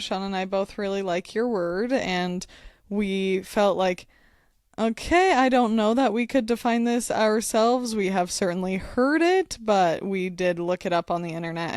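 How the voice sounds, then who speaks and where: Woman co-host, calm and reflective, takes her time, warmly encouraging her sister. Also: medium pitch, measured pace, dry recording, clean studio sound. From Wyoming. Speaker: podcast co-host. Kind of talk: casual chat.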